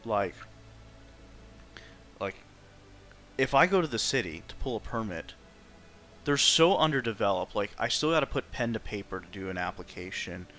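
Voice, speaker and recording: Bright, forceful man arguing his view. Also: fairly low pitch, lively intonation, moderate pace, long pauses. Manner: opinionated, conversational. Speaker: participant in a group discussion. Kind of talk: casual debate, chat.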